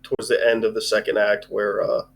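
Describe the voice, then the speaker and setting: A man co-hosting a casual live movie discussion, talking in a halting, rambling way with plenty of swearing. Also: lowish voice, monotone, gravelly. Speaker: co-host reviewing a film. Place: live online stream.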